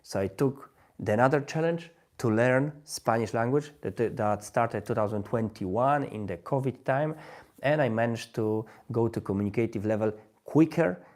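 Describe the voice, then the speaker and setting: A man with a Polish accent, a native Polish speaker, hosting a live stream in English and talking in a halting way with many restarts as he searches for words. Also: mid-range voice, darkish tone. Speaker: language-learning livestream host.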